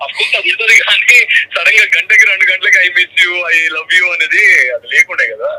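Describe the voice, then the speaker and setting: Fairly high-voiced man on a phone call. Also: moderate pace, very noisy. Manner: informal, repeats short words, mixes in English. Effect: telephone. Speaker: guest's husband, phone caller. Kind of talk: casual phone chat.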